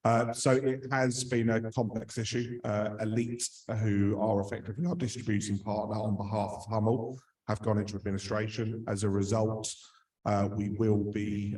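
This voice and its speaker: A man's voice: on the low side, mellow. A man losing his voice, answering questions at a meeting in a careful, businesslike way. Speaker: managing director. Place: meeting hall. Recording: echoey hall from far off.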